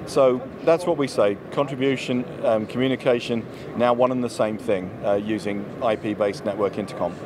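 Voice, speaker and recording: A man in his forties with a medium-pitched voice explains a product conversationally. He is being interviewed at an indoor trade show on a handheld mic, with clear noise around him. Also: moderate pace, hardly any pauses. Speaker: company executive, interview guest. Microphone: handheld microphone held by interviewer.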